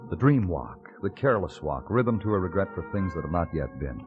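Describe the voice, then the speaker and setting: An actor playing a weary New York police detective in a noir radio crime drama, terse and brooding. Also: male voice, sits low, sing-song, brisk. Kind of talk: 1950s radio crime acting, poetic noir narration. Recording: muffled old radio recording. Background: music.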